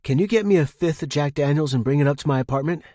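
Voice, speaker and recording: A man's voice: fairly high pitch, fast talker, mellow, no room sound, studio quality, voice pushed up, natural voice. A man voicing a panicked, defensive, foul-mouthed male office worker in audio fiction, tense and snappish. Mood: nervous, urgent. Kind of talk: audio fiction character dialogue.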